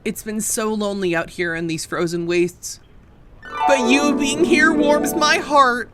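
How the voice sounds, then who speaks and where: A woman voicing a lovestruck, excitable little male polar robot, gushing and overdramatic in a comic dub. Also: on the high side, unhurried, natural voice. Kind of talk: cartoonish comic dub acting. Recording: loud music over the voice.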